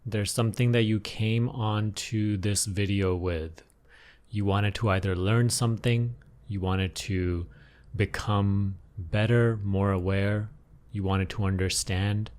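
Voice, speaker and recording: A man leading an unscripted guided meditation as a teacher, giving gentle, unhurried instructions with pauses and repeated phrases. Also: fairly low pitch, steady tone.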